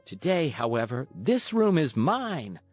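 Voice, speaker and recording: A narrator voicing a high-pitched, cheeky wooden puppet boy. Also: sing-song, measured pace, darkish tone, faint music behind. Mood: bossy, impatient. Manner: cheeky, petulant. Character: Pinocchio, defiant impudent boy wooden marionette.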